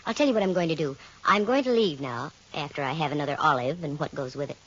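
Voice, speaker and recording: An American actress playing a sharp-witted, wisecracking woman private detective in old radio drama style, wry and breezy. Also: sits low, quick pace. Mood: firm, skeptical. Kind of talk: 1940s-50s radio detective acting, first-person narration. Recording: muffled vintage radio recording with music.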